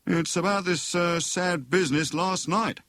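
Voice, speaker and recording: A man voicing a stiff, solemn police inspector with a British accent, politely formal and a little halting, in an audiobook. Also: high voice, fairly bright tone, no room sound, studio quality, pitched above the performer's own voice. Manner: formal, hesitant fillers.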